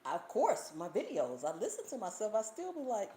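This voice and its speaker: A woman's voice: medium pitch, normal speed. A woman with a Southern US accent hosting a cooking channel's live Q&A, telling stories and reading viewer comments with a lot of laughter. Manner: animated storytelling, reads viewer comments, laughs often. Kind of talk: casual livestream Q&A chat. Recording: roomy echo, faint background hiss.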